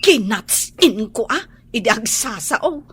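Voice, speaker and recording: A woman's voice: fairly high pitch, very animated, slow, a bit bright, breathy edge, heavily acted. A woman with a Filipino accent playing a sassy, stubborn young housemaid in an Ilocano radio drama, cheeky and exaggerated, drawing out her words. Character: sassy, stubborn young female housemaid who talks back.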